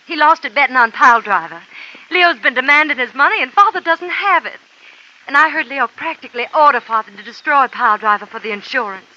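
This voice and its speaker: A woman's voice: high-pitched, expressive, brisk, sharp tone, full character voice. An anxious pleading young woman. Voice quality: pleading, earnest. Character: anxious young woman protecting her father. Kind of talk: 1950s radio drama acting. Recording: dull noisy old radio recording.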